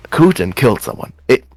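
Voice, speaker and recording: A man's voice: medium pitch, vocal fry, heavily acted. A man playing an angry, distraught, foul-mouthed demon slayer in an improvised anime roleplay, stammering and repeating words.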